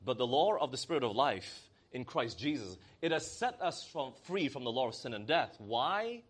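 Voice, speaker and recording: Animated male preacher calling for amens. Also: mid-range voice, moderate pace, husky. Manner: lively preaching, often calls to the congregation for amen; reads scripture aloud in old-style wording. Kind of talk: sermon.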